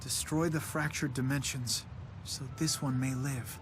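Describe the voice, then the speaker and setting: A man's voice: mid-range voice, steady tone, unhurried, background noise, lightly acted. A man voicing an irritable, outspoken young man in an anime game. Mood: somber.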